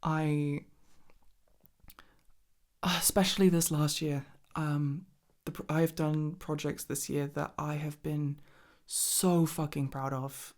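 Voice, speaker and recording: A woman's voice: low voice, steady tone, slow pace, a little mellow. A woman rambling casually and intimately to the listener, informal and sweary, with a giggly, self-deprecating way of talking. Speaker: ASMR content creator and roleplay performer.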